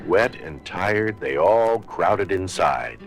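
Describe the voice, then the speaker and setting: A man narrates a children's storybook with dramatic care. His voice is low, his pace slow and his intonation very lively, with soft music underneath. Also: gravelly. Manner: dramatic, deliberate.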